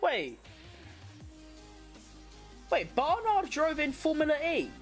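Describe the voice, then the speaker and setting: A woman's voice: high voice, huge pitch swings, slow pace, soft background music. A woman hosting a watchalong, giving casual live motorsport commentary in an informal, slangy way, as if thinking aloud. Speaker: livestream watchalong host.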